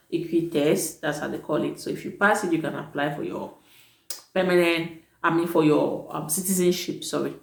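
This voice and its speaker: A woman's voice: low-pitched, gravelly. A woman who works as a teacher, giving casual advice in a vlog, chatty and emphatic, often correcting herself mid-sentence. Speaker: vlogger advising prospective students; teacher at an international school. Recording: echoey room.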